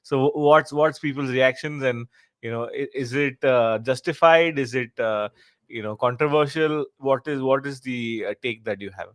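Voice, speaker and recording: A man hosting a podcast, asking questions in a halting way with many restarts and fillers. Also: medium pitch, dry recording. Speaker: podcast host. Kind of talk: casual interview questions.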